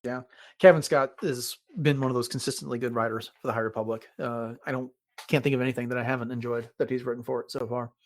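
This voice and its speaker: A man hosting a podcast in casual conversation, sometimes pausing mid-sentence as he explains things. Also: medium pitch, fairly even intonation, no room sound. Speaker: podcast host.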